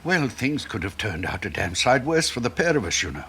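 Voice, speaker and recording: Husky, hesitant older British husband. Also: male voice, mid-range voice, big pitch swings, brisk, sharp tone, full character voice. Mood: flustered, pleading. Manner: hesitant, flustered, pleading. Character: timid, anxious henpecked husband Albert, older man. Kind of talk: 1970s radio drama acting.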